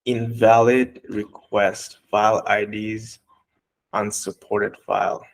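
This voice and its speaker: A man's voice: on the low side, slow, vocal fry, poor audio. Man from Bangladesh teaching code. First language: Bengali. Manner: relaxed explainer with fillers and restarts. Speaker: coding instructor. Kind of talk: walking through code live.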